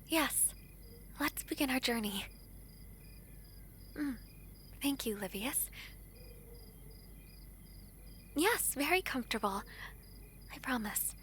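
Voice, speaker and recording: Woman playing a high-voiced, gracious young fantasy princess. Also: lively intonation, takes it slowly, long pauses, a little hiss or hum, full character voice. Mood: grateful, hopeful. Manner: formal, refined, softly earnest storybook diction. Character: gentle, courteous, eager young foreign princess. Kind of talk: scripted fantasy audio roleplay.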